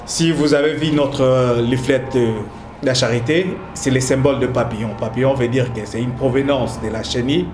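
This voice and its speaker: A man from Congo being interviewed as a community entrepreneur, speaking in a measured way, listing his roles. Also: medium pitch, steady tone, a little mellow, soft background music. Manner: measured, speaks in lists, uses sayings. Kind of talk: interview answers. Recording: echoey room with clear noise.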